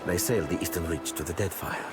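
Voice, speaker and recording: An actor playing a suave, sly pirate captain in a video game, speaking formally and courteously. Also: male voice, low-pitched, fast, natural voice. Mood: proud, measured. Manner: formal, elaborate, courteous phrasing. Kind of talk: video game character acting. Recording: loud music behind the voice.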